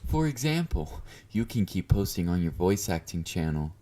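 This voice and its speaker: A man's voice: sits low, sing-song, normal speed, dark tone. A man playing an obsessive, clingy yandere fan in an intimate roleplay monologue, speaking to the listener with doting, flirty confidence. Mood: tender, clingy. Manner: doting, confident, coaxing with pet names.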